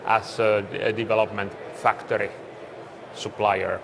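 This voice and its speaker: A man's voice: lowish voice, little pitch movement, unhurried. A man from Finland with a Finnish accent gives a business presentation as a conference speaker. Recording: clearly noisy live room recording.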